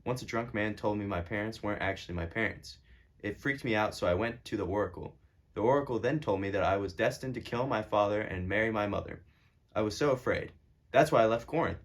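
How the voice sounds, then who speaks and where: A man's voice: on the low side, fairly quick. A man playing a hot-headed, sarcastic king in a casual amateur puppet show. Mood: uneasy, confiding.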